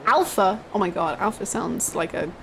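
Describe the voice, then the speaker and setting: A woman in her twenties chatting casually as she livestreams a video game, with an expressive, giggly, exclamatory way of talking. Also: mid-range voice, moderate pace, a little hiss or hum. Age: 20s. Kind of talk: casual livestream chat over gameplay, reading out comments. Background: video game music.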